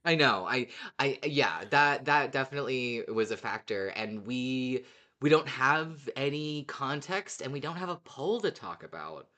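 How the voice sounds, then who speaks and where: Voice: medium pitch, lively intonation, a little slow, bright, forceful tone. A podcast co-host chatting casually in an amused, giggly way about a favourite show. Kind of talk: casual fan podcast chat.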